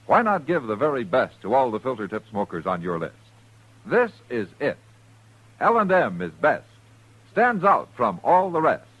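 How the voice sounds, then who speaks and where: A man's voice: on the high side, a bit bright, breathy edge. A man reading a 1950s-style radio advertisement as a punchy, polished commercial announcer. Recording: muffled old radio broadcast recording.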